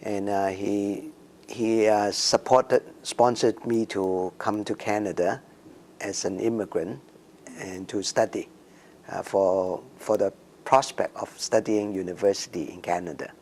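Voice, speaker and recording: Slow-speaking man in his sixties, gravelly. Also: on the low side, fairly even intonation, darkish tone, a little hiss or hum. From Macau and Hong Kong. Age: 60s. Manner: slow, hesitant, many ums and restarts. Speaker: interviewee. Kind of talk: oral history interview.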